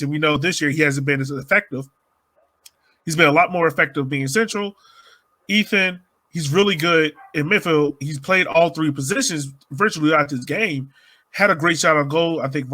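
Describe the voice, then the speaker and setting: A man's voice: fairly high pitch, sharp tone. A man co-hosting a podcast, chatting casually through soccer analysis. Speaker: podcast co-host.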